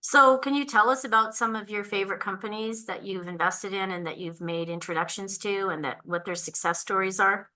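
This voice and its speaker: Bright-voiced woman podcast host. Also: mid-range voice, brisk, few pauses. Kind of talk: interviewer questions.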